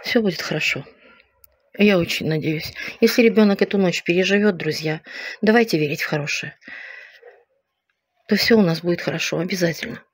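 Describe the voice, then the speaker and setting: A woman at an animal shelter talks to viewers in a soft, tender, reassuring way as she tends a sick dog. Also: lowish voice, fairly quick, pauses often, slightly rough, no echo. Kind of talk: addressing viewers while caring for a sick dog. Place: shelter room indoors. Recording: loud background noise.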